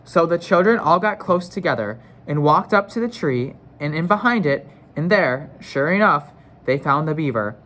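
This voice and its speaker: A man's voice: on the high side, animated, moderate pace, a bit bright. A man narrating a children's novel in a read-aloud style, acting out the dialogue.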